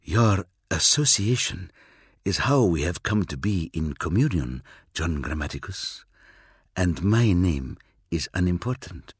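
A man putting on a cold, cryptic alien seer who speaks low and hushed, slow and deliberate. His husky voice stays calm and superior, with lilting rises and falls. A dry audiobook read. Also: no echo, full character voice. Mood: cool, probing. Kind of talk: audiobook reading.

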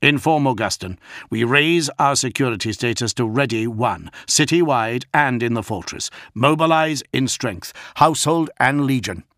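A man voicing a noble, stern warlord in an audiobook, formal, measured and commanding. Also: mid-range voice, lively intonation, fairly bright tone, no room sound, clean studio sound, voice pushed up, lightly acted. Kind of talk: dramatic audiobook character.

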